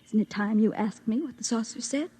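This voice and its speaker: A woman's voice: a little high, brisk, dark tone, a little hiss or hum, natural voice. An actress playing a lonely, bitter, secretive young woman in a 1950s radio drama, with a world-weary, intense delivery. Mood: wary.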